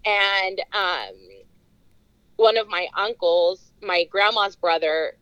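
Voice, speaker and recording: A young woman from California, a podcast guest, telling childhood stories in a lively way and laughing often. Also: medium pitch, takes it slowly, clean tone, dry recording, low-quality recording. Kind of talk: casual chat.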